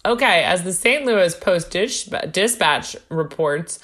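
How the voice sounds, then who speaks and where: A woman's voice: fairly low pitch, lively intonation, measured pace, a bit bright. A young woman co-hosting a casual chat, reading from her research notes and laughing often. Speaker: podcast co-host.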